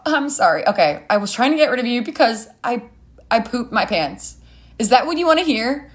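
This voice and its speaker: A woman voicing a scheming young woman desperate to get rid of her date, talking in halting slang. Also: a little high, big pitch swings. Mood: embarrassed, desperate.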